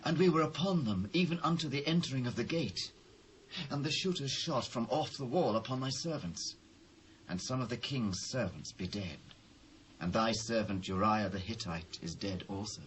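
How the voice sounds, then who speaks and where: A British actor playing a grim soldier messenger making a battle report in a dramatised Bible reading, on an old cassette recording. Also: male voice, medium pitch, lively intonation, moderate pace, close to an everyday voice. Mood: grave. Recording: noisy old cassette tape.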